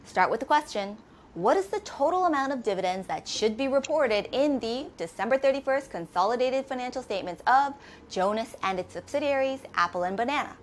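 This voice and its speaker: Casting: woman, slightly high-pitched, methodical teacher narrating a scripted tutorial, with some noise in the recording. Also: expressive, brisk. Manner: methodical, instructional, asks and answers own questions. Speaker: accounting instructor. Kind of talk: scripted step-by-step lesson narration.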